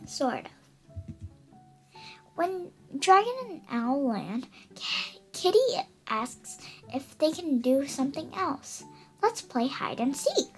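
A young girl of about eight reads a storybook aloud. Her voice is high and swings up and down a great deal as she takes her time through the words. Music plays behind her. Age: child, about eight. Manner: expressive, careful reading with stumbles over words. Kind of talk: read-aloud children's picture book.

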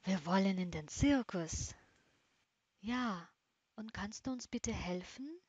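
A woman playing bored zoo animals longing for the circus, wistful and drawn-out, in an amateur cartoon. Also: mid-range voice, expressive, a little mellow, breathy edge, no room sound. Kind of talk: amateur animated story dialogue. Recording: slightly dull, lo-fi sound.

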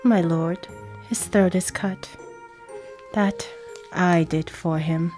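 A woman playing a cold, dutiful hired murderer in a Shakespearean radio drama, terse and measured. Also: lowish voice, fairly even intonation, a little mellow, soft background music. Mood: grim, deferential.

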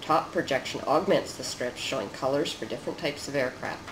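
A narrator reading a scripted research demonstration in a measured, explanatory way. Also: mid-range voice. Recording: roomy echo with noticeable background noise.

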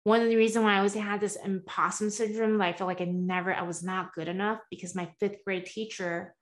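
A woman's voice: mid-range voice, quick pace. Woman guest, forties, earnest, chatty asides, webcam audio from a home room. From born in the US, partly raised in Taiwan. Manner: earnest, animated hand gestures, frequent "right?" asides. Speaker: guest coach. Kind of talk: candid interview storytelling. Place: home room with shelves, video call.

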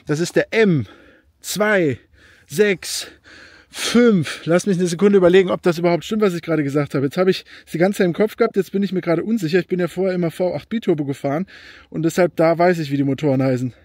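A young man vlogging outdoors beside his car in a snowy field, talking casually and enthusiastically, often pausing to think aloud and correct himself. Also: sits high, darkish tone, no room sound, clean studio sound. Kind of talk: casual car review vlog.

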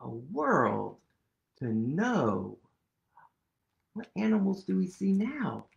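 A man in his thirties reading a picture book aloud to children, animated and playful, leading them in actions, recorded on a webcam in a small room. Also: sits high, slow, creaky, lo-fi sound. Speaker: storytime reader.

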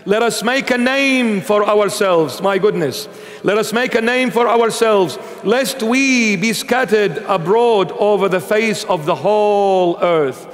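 A man's voice: high-pitched, slow pace. A middle-aged man from Iraq, a bishop giving an emphatic sermon with Bible reading. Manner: emphatic, gesturing, animated preaching. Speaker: bishop preacher. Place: large church sanctuary, seated at altar. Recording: long church reverb.

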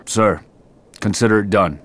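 An actor playing a cool special forces team leader in a video game, terse, formal and understated. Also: male voice, lowish voice, unhurried, a bit bright. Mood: cool, controlled. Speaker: squad leader. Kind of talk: cinematic game dialogue.

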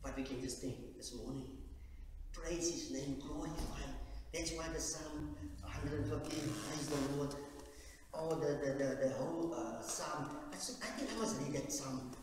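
A man from South Africa preaching at a communion service, with fervent loud peaks that drop to quiet low stretches and frequent halting restarts. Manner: halting, repeats words and restarts. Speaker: lay preacher. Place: large echoey church hall. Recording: echoey hall from far off, faint hiss.

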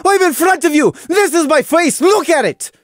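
Man doing a shrill, bitter young mare. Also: animated, moderate pace, no echo, studio quality. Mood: furious. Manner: shrill, snapping and shouting. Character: young mare, bitter, hurt and sharp-tongued.

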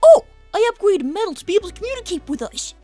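Woman voicing a very high-pitched boy fox inventor. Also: sing-song, fast, over music. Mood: exasperated. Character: twelve-year-old boy fox inventor, bright and exasperated. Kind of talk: fan-made animated series voice acting.

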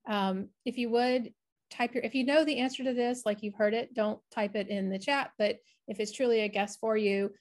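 A woman's voice: a little high, fairly even intonation, moderate pace, darkish tone. A middle-aged woman, a researcher explaining her work in an online presentation over a video call. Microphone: video call, webcam framing.

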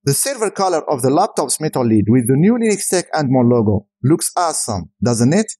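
Male tech reviewer, native Arabic speaker, higher-pitched voice with lively intonation, scripted product voice-over. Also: breathy edge, no echo.

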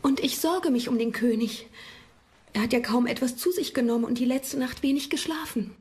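A woman playing a worried, grieving lady of a royal court in a documentary drama, speaking in a hushed manner. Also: a little high, fairly quick, lightly acted. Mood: worried, sorrowful.

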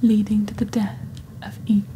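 A young woman speaking softly and breathily in a scripted ASMR roleplay, slow, deliberate and eerily formal, as the host of a shopping channel. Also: medium pitch, flat delivery, a little hiss or hum, poor audio. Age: young adult. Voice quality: soft, breathy, whispery. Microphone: close studio microphone on desk.

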